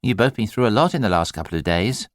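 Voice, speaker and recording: A man voicing a kindly, brisk maternity nurse in an audiobook, cooing and soothing. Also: lowish voice, expressive, dry recording, clean studio sound, natural voice. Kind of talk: audiobook character dialogue.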